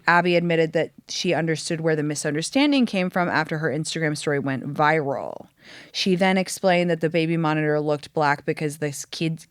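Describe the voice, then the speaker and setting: Low-pitched woman chatting emphatically. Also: no room sound, clean studio sound. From London, England. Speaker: podcast host. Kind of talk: casual pop culture chat.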